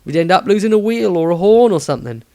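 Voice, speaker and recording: Guy doing a pathetic working-class coach driver, highish voice, moaning but deferential, fantasy tale. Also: big pitch swings, fast talker, a little mellow. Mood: pathetic, complaining. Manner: colloquial, grumbling, deferential.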